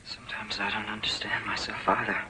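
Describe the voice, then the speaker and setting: A man playing a brooding, lonely, yearning misfit, hushed and halting, in an acted dramatic scene. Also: fairly low pitch, completely flat delivery, lightly acted. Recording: harsh bright sound, faint noise.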